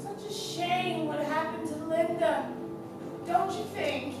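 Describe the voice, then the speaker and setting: A woman's voice: very high-pitched, slow pace, far from the mic. An actress playing a catty, insinuating woman of the circus in exaggerated theatrical style. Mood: snide. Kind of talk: theatrical stage acting. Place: theater stage. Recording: echoey theater from afar, loud music.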